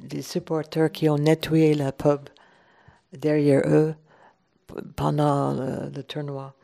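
A woman's voice: deep voice, little pitch movement, slow pace, vocal fry, warm tone. A woman from Ireland, speaking French as an as a radio guest, hesitant and searching for words. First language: English. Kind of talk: relaxed radio chat.

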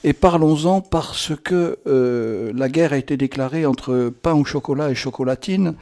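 A man's voice: mid-range voice, unhurried, dark tone. A man hosting a radio show in a chatty, improvised interview style, playful and teasing, with rambling, theatrical, flowery turns of speech. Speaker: radio host.

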